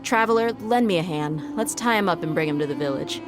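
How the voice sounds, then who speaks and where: An actress playing a shrewd, confident desert mercenary in a video game cutscene, with a wry, matter-of-fact delivery. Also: female voice, on the low side, animated, a little fast, close to an everyday voice. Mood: businesslike. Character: shrewd, self-assured adult female desert mercenary. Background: background game music.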